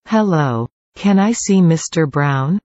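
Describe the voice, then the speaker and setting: A woman's voice: low-pitched, animated, takes it slowly, dark tone, no echo, highs cut off. A woman reading short English questions for a language lesson in a careful, scripted way. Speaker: English dialogue reader.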